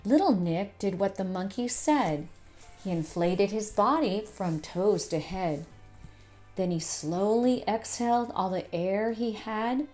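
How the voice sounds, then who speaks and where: A woman's voice: medium pitch, slow, darkish tone, soft background music. A woman narrating a children's storybook as a storytime host, in a scripted read-aloud style.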